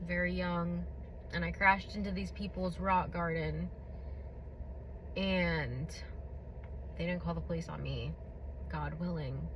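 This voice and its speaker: Slow, blunt woman with a booming voice. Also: lo-fi sound, background noise. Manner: blunt, candid, unfiltered, with many fillers. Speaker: podcast host. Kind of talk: personal testimony, casual monologue.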